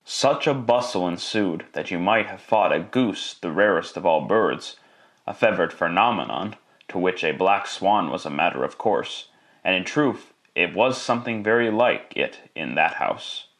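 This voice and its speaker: A man's voice: low-pitched, expressive, normal speed, fairly bright tone. A man narrating a classic radio drama in a theatrical, emphatic storytelling style.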